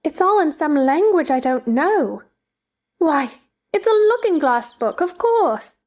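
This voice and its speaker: A woman's voice: sits high, big pitch swings, measured pace, a little mellow. A woman playing a curious, polite young girl, speaking with earnest wonder in a theatrical storybook dramatization.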